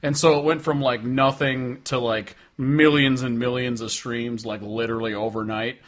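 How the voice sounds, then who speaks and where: A man's voice: medium pitch, little pitch movement, normal speed. A man co-hosting a podcast, chatting casually about music in a blunt, informal, sweary way. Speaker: podcast co-host. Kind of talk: casual opinionated music chat.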